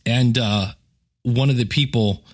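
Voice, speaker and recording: A man's voice: mid-range voice, unhurried. A middle-aged man with an American accent, hosting a podcast and telling stories in a conversational, wry way. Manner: conversational, wry, chuckles at own asides. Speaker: podcast host. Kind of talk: casual solo podcast storytelling, reading emails aloud.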